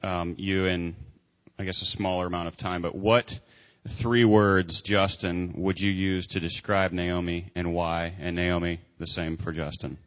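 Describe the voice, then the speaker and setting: A man's voice: deep voice, level tone, unhurried. A man interviewing a couple at church, hesitant and repeating words as he frames his questions. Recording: dull sound with long hall reverb.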